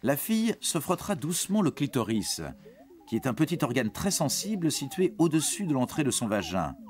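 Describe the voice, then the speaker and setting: A man's voice: fairly high pitch, big pitch swings, fairly quick. A man giving scripted educational narration for young teens in a reassuring, explanatory manner. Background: background music.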